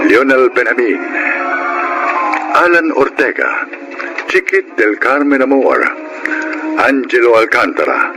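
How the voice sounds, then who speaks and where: Filipino guy doing dramatic radio narration, pitch moves around a lot, music behind him. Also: poor audio.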